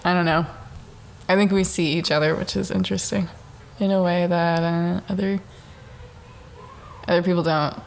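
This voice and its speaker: A young woman hosting a solo talk show, rambling through self-reflection at home in a dark apartment, self-mocking and jumping from thought to thought. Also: on the low side, fairly even intonation, moderate pace, vocal fry, long pauses, slight background noise. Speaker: podcast host.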